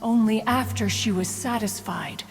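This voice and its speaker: A woman's voice: a little high, measured pace, gravelly. A woman playing a proud, aloof ancient female adeptus in a video game, stern yet caring, speaking in formal, archaic, haughty phrasing. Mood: solemn, measured. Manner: formal, archaic, haughty, refers to self as "one". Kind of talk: video game character acting. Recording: long hall reverb, game music behind.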